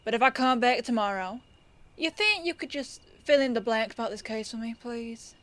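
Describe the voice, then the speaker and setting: A woman playing a composed police detective in an audio roleplay, firm yet kindly, with a measured, folksy, knowing delivery. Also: on the high side, lively intonation, fairly bright tone. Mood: soft, consoling.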